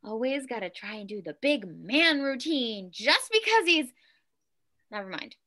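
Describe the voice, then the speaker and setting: A young woman acts an exasperated captive daughter in a stage play read over video call. Her voice is high and bright and swings widely in pitch. She is sarcastic, foul-mouthed and pleading. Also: very animated, unhurried, exaggerated voice. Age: 20s. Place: home kitchen, indoors.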